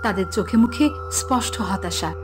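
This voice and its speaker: A woman's voice: medium pitch, moderate pace, music in the background. A woman narrating a scripted fairy tale for a cartoon.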